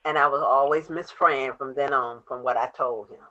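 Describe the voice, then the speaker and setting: Blunt woman with a bright, forceful voice. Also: rumbling low, steady tone, moderate pace. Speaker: podcast co-host. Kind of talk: casual podcast conversation. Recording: slightly dull lo-fi audio.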